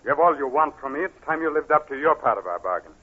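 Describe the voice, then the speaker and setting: A man's voice: on the high side, expressive, quick pace, husky. An actor playing a cold, bitter, curt man in 1940s radio drama style. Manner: curt, stern. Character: cold, bitter man ordering a blackmailing lover out. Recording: dull 1940s radio recording.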